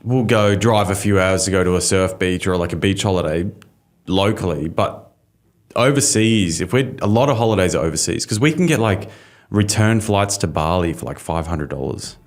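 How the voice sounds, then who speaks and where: A man with an Australian accent hosting a casual interview podcast, easygoing and quick with affirmations. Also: low-pitched, expressive. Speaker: podcast host.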